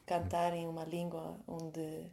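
A woman's voice: low voice, completely flat delivery, a little slow, vocal fry, mellow. A woman with a mixed Mozambican Portuguese accent, a singer being interviewed, chatting casually and often searching for her words. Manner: hesitant phrasing, searching for words. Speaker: interview guest, singer in a band.

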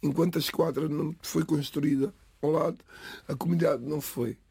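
A middle-aged man from the Lisbon area whose first language is Cape Verdean Creole, giving a halting, reflective personal account in an interview. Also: a little high, fairly even intonation, creaky, dark tone, husky, no room sound. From Portugal, Lisbon area. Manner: halting, restarts phrases, pauses between words.

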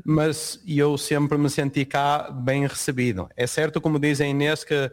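Relaxed man from Portugal. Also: a little high, unhurried. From northern Portugal. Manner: relaxed, with frequent restarts and tag questions. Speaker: guest, university lecturer living abroad. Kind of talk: conversational interview answers.

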